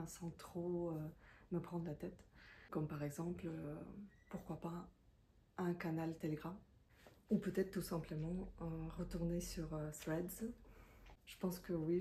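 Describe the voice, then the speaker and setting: A woman's voice: fairly low pitch, dark tone, a little hiss or hum. A woman in her thirties or forties, a self-employed content creator, speaking French as a second language in a reflective, confiding monologue with many hesitations. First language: not French. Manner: hesitant, many fillers and restarts; thoughtful and confiding. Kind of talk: reflective talking-head monologue.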